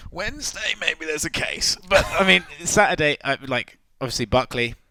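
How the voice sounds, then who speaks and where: A man's voice: on the high side, expressive, normal speed, sharp tone. A tipsy man chatting loosely as a podcast guest, laughing often and swearing freely. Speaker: podcast guest, media personality. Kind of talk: casual podcast chat.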